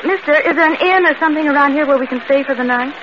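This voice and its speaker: Actress as an anxious young woman, high-voiced vintage radio. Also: very fast, natural voice. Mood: uneasy. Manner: uneasy, dramatic delivery. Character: anxious young woman traveler on a stormy night. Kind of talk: 1940s radio acting. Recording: muffled, very noisy old radio broadcast.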